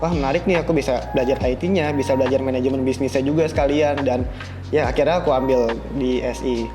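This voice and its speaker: A man's voice: medium pitch, fairly even intonation, fast. Young man chatting over background music. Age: young adult. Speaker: podcast guest, university student. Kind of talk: casual chat. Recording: music playing under the voice.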